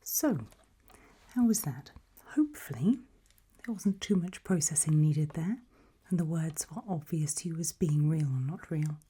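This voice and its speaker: Woman's slow, breathy ASMR whisper. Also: low voice, animated. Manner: gentle, reassuring, encouraging. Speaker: ASMR roleplayer playing an examiner.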